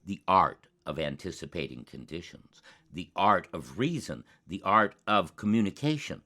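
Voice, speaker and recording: A man with a low voice hosts a commentary show. He speaks slowly and deliberately in rhetorical, scripted phrases. His intonation swings widely, giving key words dramatic emphasis. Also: sharp tone. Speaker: host of a climate commentary show.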